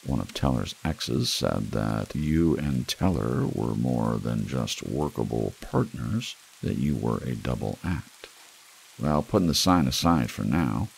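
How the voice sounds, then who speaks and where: A man with an extremely low, gravelly voice narrates noir fiction for an ASMR audiobook. His delivery is brooding and wry, with lively swings in intonation. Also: slight background noise.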